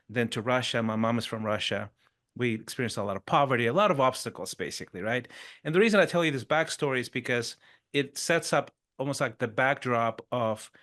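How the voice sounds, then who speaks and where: A man's voice: mid-range voice, fairly bright tone. A middle-aged man from Chile, a podcast guest telling his life story in a conversational way, often adding "sort of" and "right?". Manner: frequent "sort of" and "right?" tags, brief restarts. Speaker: podcast guest, performance coach.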